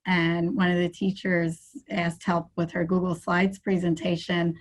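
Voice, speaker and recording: A woman's voice: lowish voice, flat delivery, measured pace. A woman taking part in an online workshop over a video call, frankly telling a story about school life. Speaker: workshop participant. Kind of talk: casual chat.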